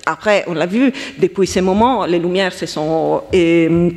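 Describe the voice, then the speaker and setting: A woman's voice: lowish voice, a little mellow. Italian-accented woman in a reverberant hall. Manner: halting, self-correcting, thinking aloud. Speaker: round-table panelist. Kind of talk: academic round-table remarks. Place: lecture hall.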